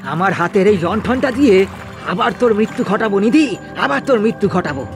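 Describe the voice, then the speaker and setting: A man plays a village fish farmer in a cartoon ghost tale. His voice is pitched high, scared but defiant, tripping and repeating words. Music runs behind him. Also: lively intonation, fairly quick. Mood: pleading, defiant. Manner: stammering, repeating words in fright. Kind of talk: cartoon horror dialogue.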